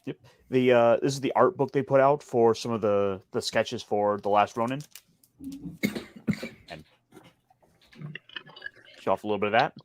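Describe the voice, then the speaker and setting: A man showing off his comic collection in a casual chat, speaking in a relaxed, hesitant way with fillers. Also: medium pitch, normal speed, long pauses, clear, resonant tone. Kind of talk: casual show-and-tell chat.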